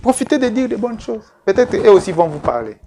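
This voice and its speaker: A man's voice: high-pitched, very animated, warm tone, slight background noise. Earnest fiftyish male life coach. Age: 50s. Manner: slow, repetitive, earnest, addressing viewers directly. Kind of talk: televised coaching talk.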